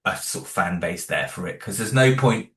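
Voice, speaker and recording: A British man with a low-pitched voice talks as a guest in a casual interview. He sounds earnest, feeling his way through his thoughts with some hesitation. The recording is noticeably roomy, with echo around his voice. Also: normal speed. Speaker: interview guest, independent audio drama creator. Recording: roomy, echoing room sound.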